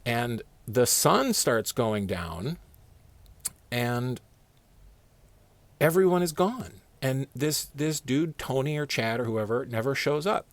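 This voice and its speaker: A man's voice: mid-range voice, expressive, slow pace, no echo. A man telling a personal story in a casual, slangy, conversational way, with some swearing and acted dialogue.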